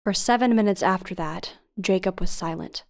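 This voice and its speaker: Actress as worried young woman in a radio play, urgent and tense, medium pitch. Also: normal speed, darkish tone, lightly acted. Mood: grave narration. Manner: urgent, questioning, emotionally tense. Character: worried, insistent young woman on a tense phone call, narrating her story. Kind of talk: audio drama acting with first-person narration.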